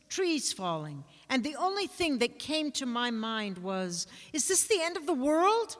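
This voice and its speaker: A woman giving a dramatic literary reading, with measured, expressive and deliberate phrasing. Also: mid-range voice. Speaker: actor reading aloud. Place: large indoor hall at a live reading. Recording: echoey hall with long reverb.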